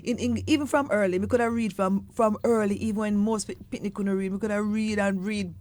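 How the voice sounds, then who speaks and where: A Jamaican woman singer and poet answering interview questions in relaxed, animated conversation, slipping between patois and standard English. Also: mid-range voice, brisk. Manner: animated storytelling, mixing patois with standard English, stretching words.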